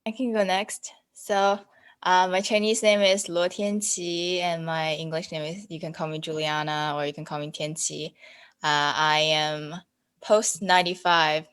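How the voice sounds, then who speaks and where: A young woman in her twenties with a notably low voice talks as a podcast guest. She is chatty and informal, with a self-deprecating manner. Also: flat delivery, measured pace, fairly bright tone, clean tone. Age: 20s. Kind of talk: casual chat.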